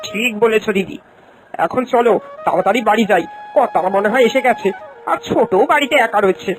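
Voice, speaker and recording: A woman playing an optimistic, eager younger village sister-in-law in a moral-story cartoon, with an encouraging, expressive manner. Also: on the high side, a little fast, soft background music, lightly acted, rough recording. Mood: agreeable.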